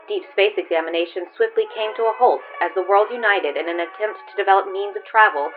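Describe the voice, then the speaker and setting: A woman's voice: mid-range voice, fast, close to an everyday voice. A woman playing a news broadcaster reading a scripted bulletin in a space audio drama. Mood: newsy. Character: brisk female news broadcaster in a space saga. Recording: dull lo-fi broadcast, faint music.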